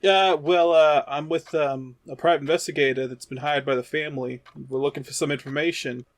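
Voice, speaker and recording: Man playing a halting, higher-pitched investigator's sidekick. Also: steady tone, dry recording, close to an everyday voice. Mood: earnest. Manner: hesitant, halting, informal. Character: rough-edged, unlearned, halting male investigator's partner.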